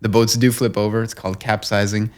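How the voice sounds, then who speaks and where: A young man from Long Island, guest on an interview show, talking in a measured, earnest way with fillers and restarts. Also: on the low side, flat delivery. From Long Island, New York. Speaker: guest, nonprofit co-founder. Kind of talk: conversational interview.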